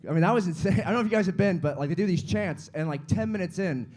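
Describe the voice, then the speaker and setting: A man's voice: high-pitched, quick pace, a little mellow. An American man from Ohio doing a stand-up set in a club, self-deprecating and chatty, and asking the crowd questions. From United States, small-town Ohio. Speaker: stand-up comic. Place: comedy club stage.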